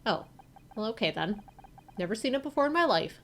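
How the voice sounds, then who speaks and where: A woman's voice: a little high, very animated, a little fast, a bit bright. A woman voicing a nerdy young male superfan in a visual novel, fussy, defensive and prone to stammering.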